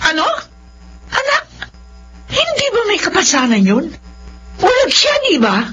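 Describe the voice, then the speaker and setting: Woman acting as protective Filipino mother, melodramatic radio drama, pleading, sharp tone, dull lo-fi recording. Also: very high voice, wildly swooping pitch, unhurried, exaggerated voice. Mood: disapproving. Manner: emphatic, pleading. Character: protective, disapproving mother of a grown son. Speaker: drama voice actor. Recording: dull lo-fi audio with music.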